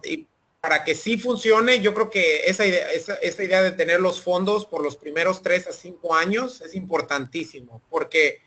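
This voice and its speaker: High-voiced man explaining, many restarts. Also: a bit bright. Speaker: webinar presenter. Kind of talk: online seminar Q&A.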